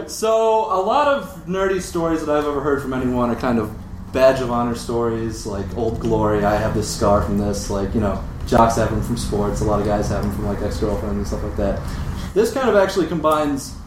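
A man with a Midwestern American accent speaks at a slightly low pitch, recorded from a distance in an echoey room. He tells a personal story casually, poking fun at himself and swearing now and then. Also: lively intonation, brisk. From Europe, Britain, moved to the States in seventh grade. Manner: casual, self-deprecating, swears. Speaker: guest storyteller. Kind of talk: live comic storytelling to an audience. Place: live event room with audience. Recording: echoey live room, distant mic, noisy.

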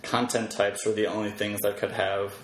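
A man in a casual explanatory chat as a podcast guest, talking in a halting way as he thinks aloud. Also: on the low side, flat delivery, a bit bright. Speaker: podcast guest, web developer. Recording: echoey room with faint background noise.

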